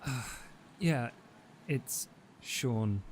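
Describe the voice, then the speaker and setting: A man's voice: medium pitch, animated, very slow, darkish tone, slight background noise. A man taking part as a player in a tabletop game, talking in a laid-back, dry way.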